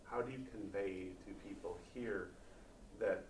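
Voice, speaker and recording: A man interviewing, asking questions in a halting way and repeating words. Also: sits low. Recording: distant, roomy, faint noise.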